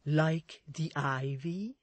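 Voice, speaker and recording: A woman's voice: sits low, very slow, no room sound, exaggerated voice. A woman voicing a deferential, soothing lady companion in a storybook reading, drawing out her syrupy sympathy. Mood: tentative suggestion. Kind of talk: dramatized Victorian novel reading.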